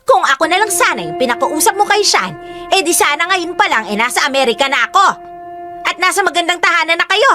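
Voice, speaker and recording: An actress playing a greedy, sharp-tongued older woman who berates and insults. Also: extremely high pitch, very animated, fast, music in the background. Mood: scolding. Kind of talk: radio drama acting.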